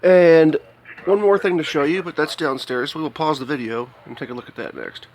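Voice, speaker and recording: An American man with a slightly higher-than-average voice gives an unscripted show-and-tell about electronics. He explains things plainly and casually, often halting as he works through the details. He is recorded indoors in a home workshop on a handheld camera. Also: expressive, a little hiss or hum, low-quality recording. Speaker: hobbyist video maker showing electronics.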